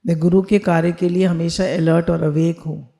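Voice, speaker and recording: A woman's voice: fairly low pitch, little pitch movement, moderate pace, mellow. A woman spiritual teacher on a video call, leading listeners through affirmations they repeat after her, mixing Hindi with English phrases.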